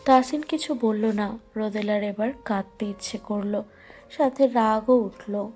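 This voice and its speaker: A woman's voice: mid-range voice, unhurried, a little mellow. A woman narrating a scripted romantic story. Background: faint music.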